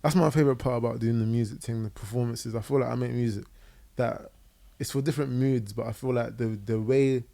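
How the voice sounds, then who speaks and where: A quick, chatty young man with a London accent talks freely on a podcast, his voice gravelly with a mellow, warm tone. He speaks into a boom mic in a living-room set, and his talk is full of fillers and "innit". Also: fairly even intonation. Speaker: guest musician. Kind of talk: casual podcast interview. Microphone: boom mic on arm.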